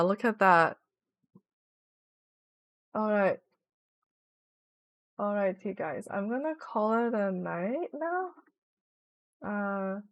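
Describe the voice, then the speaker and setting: A young woman on a home live stream talks in a loose, rambling way, full of "like" and restarts. Her voice sits a touch high, and the sound is close and dry with no room echo. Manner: chatty and rambling, with many "like" fillers. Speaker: Twitch streamer. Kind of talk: casual live-stream chat while gaming.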